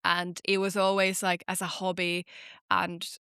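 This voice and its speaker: A woman's voice: on the low side, a little slow, clean tone, no echo, clean studio sound. A young woman from Finland, a podcast guest and actor, chatting casually with lots of "like" fillers. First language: Finnish. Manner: chatty, many "like" fillers, lively storytelling. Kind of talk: casual interview chat.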